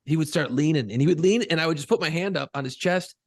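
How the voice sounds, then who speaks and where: A man's voice: on the high side, fast talker, no room sound. A middle-aged man co-hosting a podcast, telling rambling, profane stories in a laid-back way. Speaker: podcast co-host and pirate tour guide. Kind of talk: casual podcast chat.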